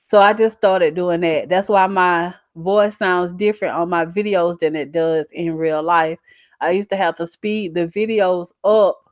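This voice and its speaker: A young woman with a Southern US accent and a slightly low voice chats to her livestream viewers. She is folksy and emphatic, with colloquial phrasing. The sound is close and dry. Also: dry recording. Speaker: channel host. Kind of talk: casual livestream chat reading out viewer comments.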